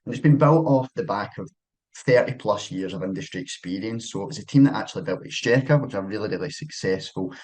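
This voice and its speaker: Husky low Scottish man presenting. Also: fast, darkish tone. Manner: loose and conversational, with many fillers and restarts. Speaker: account manager presenting a webinar. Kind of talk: casual presentation.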